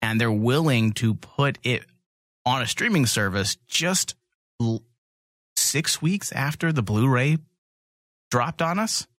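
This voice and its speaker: A man's voice: mid-range voice, lively intonation, slow pace, pauses often, fairly bright tone, dry recording, studio quality. A man co-hosting a fan podcast in casual chat, emphatic and joking in the way he talks. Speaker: podcast co-host.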